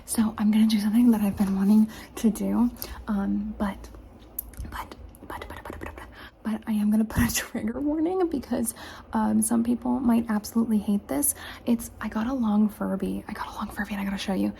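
A woman whispering softly through an ASMR roleplay, asking slow, repeated questions close to the camera mic. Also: medium pitch, fairly even intonation, creaky, a little hiss or hum. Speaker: ASMR creator.

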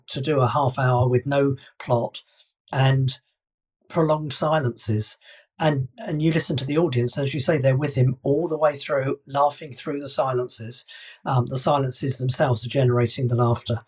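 A man's voice: mid-range voice, fairly even intonation, moderate pace, darkish tone, slightly rough. A man co-hosting a podcast, giving thoughtful, emphatic analysis in casual chat. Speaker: podcast co-host.

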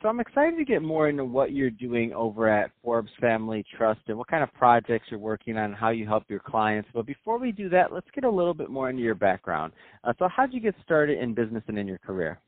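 A man's voice: mid-range voice, wildly swooping pitch, a little fast, no room sound. Upbeat male podcast host, phone-quality audio. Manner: upbeat scripted intro, then friendly questions. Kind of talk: business interview podcast.

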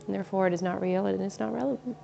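A woman guiding a meditation in a slow, soothing, soft-spoken way. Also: mid-range voice, creaky. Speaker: meditation guide. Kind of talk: guided meditation. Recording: slightly dull recording with faint ambient noise.

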